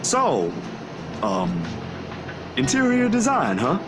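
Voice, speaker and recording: Awkward male photographer, hesitant stammer, polite, animated pitch, visual novel game, music behind. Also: slow, exaggerated voice.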